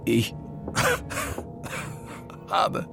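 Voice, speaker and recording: An actor playing a desperate, ailing physicist in a German radio play, urgent, breathless and halting. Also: male voice. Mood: anguished. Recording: loud music bed behind voice.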